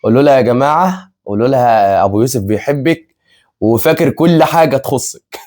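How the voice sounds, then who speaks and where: A man's voice: medium pitch, animated, fast talker, a little mellow. A man in his twenties with an Egyptian Arabic accent, chatting casually with viewers as a family vlog host, repeating himself and laughing often. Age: 20s. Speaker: vlogger, channel co-host.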